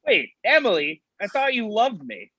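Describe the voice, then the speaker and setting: A man acting as a grumpy, lovelorn young man in a comedy table read, confessing earnestly and haltingly. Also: high-pitched, wildly swooping pitch, fairly bright tone, lo-fi sound, a little hiss or hum, heavily acted. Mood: hurt.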